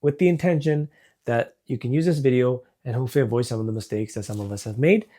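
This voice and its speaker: A man working as a financial advisor, giving friendly, measured explanations straight to camera and walking through worked examples. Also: medium pitch, dark tone. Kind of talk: direct-to-camera advice video.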